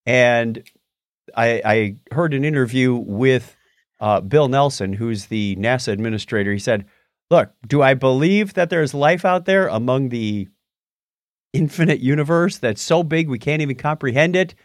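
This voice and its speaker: A man's voice: mid-range voice, moderate pace, dry recording, clean studio sound. A man hosting a podcast, chatting casually through news items and explaining them in a wry, jokey way. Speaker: podcast host. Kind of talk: casual news chat with banter.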